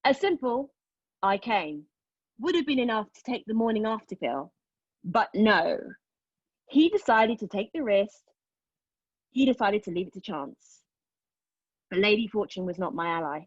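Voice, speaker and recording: A woman acting a dramatic monologue as a wounded, reflective woman, telling her story intimately with pauses and emphasis. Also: medium pitch, expressive, dry recording, dull audio. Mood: bitter, wounded. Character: wounded, reflective woman recounting lost love and travel. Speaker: actor in a play table read.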